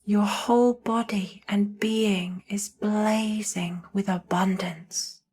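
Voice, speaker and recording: Casting: female meditation guide, mid-pitched, very unhurried delivery, hushed and soothing, short phrases with generous pauses. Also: fairly even intonation, slightly rough. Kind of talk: slow guided meditation narration.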